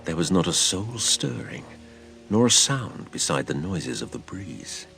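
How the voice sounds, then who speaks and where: A man narrates as an adventurer looking back on his boyhood. His voice is deep and creaky, with dramatic swings of pitch. The delivery is literary, theatrical storytelling. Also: big pitch swings, a little slow, bright, forceful tone, soft background music, exaggerated voice. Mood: gripping, reflective.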